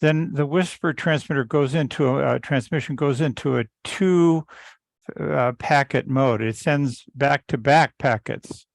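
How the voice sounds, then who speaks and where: A man giving a casual technical explanation in an online meeting, halting and often repeating the starts of words. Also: medium pitch, vocal fry, no room sound, clean studio sound. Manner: halting, stammering repetitions. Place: online teleconference call.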